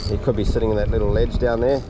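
A middle-aged man with an Australian accent, guiding a fishing trip and calmly coaching his guests. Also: fairly low pitch, racing. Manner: encouraging, instructive, laid-back. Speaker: fishing charter guide. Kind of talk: casual on-water coaching. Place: small boat on open water outdoors. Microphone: action camera on the boat. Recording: boat and wind noise outdoors.

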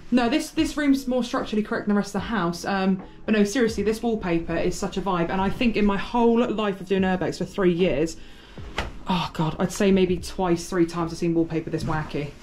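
A young British woman hosts an exploring vlog inside an abandoned house. She is chatty and excitable, gushing over every find. Her voice sits in a middle pitch, with a faint hiss behind it. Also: brisk. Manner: excitable, chatty, exclaims often. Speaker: urban explorer host. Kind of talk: casual exploring vlog chat.

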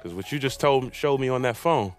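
An actor playing a proud, middle-aged American former basketball pro, folksy and needling, in a game cutscene. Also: male voice, medium pitch, animated, a little fast, soft background music, lightly acted. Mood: proud banter. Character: older former pro basketball mentor, gruff, teasing, proud. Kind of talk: naturalistic game cutscene acting.

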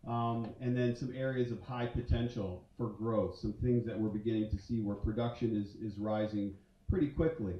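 A man's voice: fairly low pitch, steady tone, moderate pace. A man in his forties giving a conference talk on stage with a handheld mic, conversational and full of fillers and restarts. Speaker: conference speaker. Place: large dark auditorium stage. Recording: roomy hall sound from a distance, muffled.

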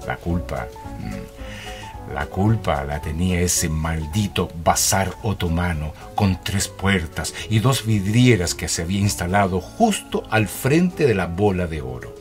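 A radio drama narrator with a very deep voice. His scripted storytelling moves expressively up and down over faint music. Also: big pitch swings, measured pace, full character voice. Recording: music behind the voice.

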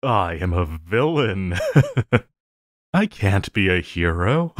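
A man as a lovestruck villain, slow theatrical drawl. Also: sits low, big pitch swings, no room sound, studio quality, heavily acted. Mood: gleefully self-mocking. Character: obsessive lovestruck male villain, playfully menacing, pleading. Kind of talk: intimate audio roleplay acting.

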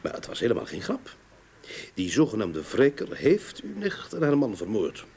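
An actor playing a methodical private detective in a Dutch radio play, inquisitive and businesslike. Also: male voice, mid-range voice, wildly swooping pitch, moderate pace, creaky, mellow, husky, full character voice. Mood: inquiring. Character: probing, methodical male private detective, matter-of-fact. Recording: old radio play recording, background noise.

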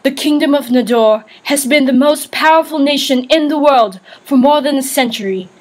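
A woman's voice: sits high, moderate pace, close to an everyday voice. A woman acting a resolute queen regent who addresses her court formally in an audio drama. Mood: regal, resolute. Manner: formal, declamatory.

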